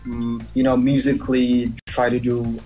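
A man who grew up in Dubai, a DJ talking casually as a guest, pausing often with fillers and restarts. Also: medium pitch, monotone, dark tone, soft background music. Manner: hesitant, many fillers and restarts. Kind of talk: casual interview chat.

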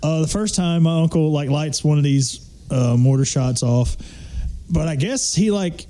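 A man chatting casually and telling stories on a podcast, with slangy, profane, animated delivery. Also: on the high side, moderate pace. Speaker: podcast crew member. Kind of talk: casual comedic storytelling chat.

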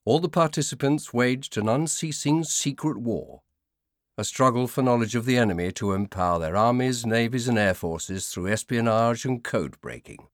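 A man narrating a scripted nonfiction audiobook in a measured, formal manner. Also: mid-range voice, animated, no echo, clean studio sound.

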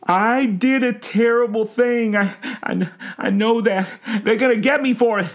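A man's voice: extremely high pitch, fairly quick, darkish tone, pitched above the performer's own voice. A man voicing a frantic, sobbing old derelict in an audiobook story, stammering and blubbering in rustic, folksy speech. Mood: desperate.

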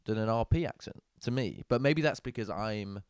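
A man's voice: lowish voice, lively intonation, normal speed, clear, resonant tone, no room sound, studio quality. A man with a northern English accent, chatting casually and giving opinions, often repeating words. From northern England. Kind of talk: casual chat.

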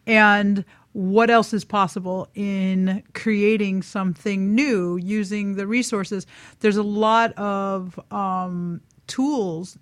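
A woman's voice: mid-range voice, steady tone, takes it slowly, no room sound. A woman chatting casually and reflectively as a panelist on a radio talk show, in an informal manner. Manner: informal, laughs easily while talking.

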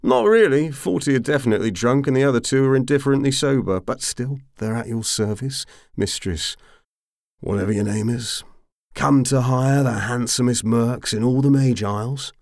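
A man's voice: mid-range voice, normal speed, creaky, darkish tone, no room sound, clean studio sound, voice pushed up, close to an everyday voice. A man voicing a tipsy, roguish mercenary commander in an audiobook, drawling and rambling with boastful charm. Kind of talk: audiobook character acting.

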